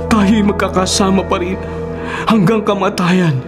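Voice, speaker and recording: A man's voice: sits high, steady tone. A man playing a desperate grieving lover in melodramatic, archaic radio drama style, swearing devotion unto death. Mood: anguished vow. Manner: melodramatic, archaic, drawn-out cries. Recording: very loud music behind.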